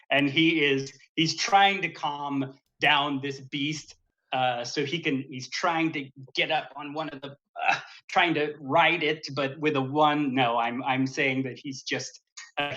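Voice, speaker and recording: Bright-voiced man running a tabletop game. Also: a little high, lo-fi sound. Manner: rambling, hesitant, frequent fillers and laughter. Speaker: dungeon master. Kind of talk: narrating a tabletop game livestream.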